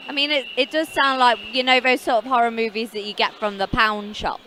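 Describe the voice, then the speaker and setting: Woman play-by-play caller, bright and forceful, slightly high, faint ambient hiss. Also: fairly even intonation. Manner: brisk play-by-play calls, dry humour. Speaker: roller derby commentator. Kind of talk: casual live sports commentary.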